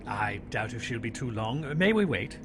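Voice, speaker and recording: An actor playing a dry, methodical police inspector in a period radio crime drama, deliberate and authoritative. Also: male voice, medium pitch, sing-song, background noise, close to an everyday voice.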